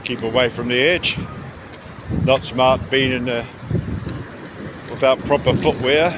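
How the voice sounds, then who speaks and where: Casting: male travel vlogger, mid-pitched, casual and rambling with mid-sentence pauses, recorded on a noisy, windy shore. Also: steady tone. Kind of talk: casual walking travel commentary. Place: outdoors on a rocky seashore by tidal pools. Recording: windy outdoor recording with sea noise. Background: wind and waves.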